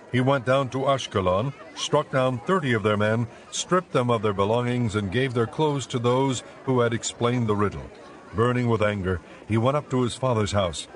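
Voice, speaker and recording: A man narrating scripture for a dramatized audiobook in a measured, solemn, scripted style. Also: mid-range voice.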